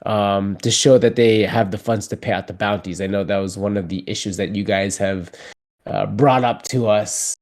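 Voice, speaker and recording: A man's voice: fairly low pitch, normal speed. A man hosting a community call in a casual, loose way, with lots of fillers and restarts. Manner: relaxed, many fillers and restarts. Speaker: community host.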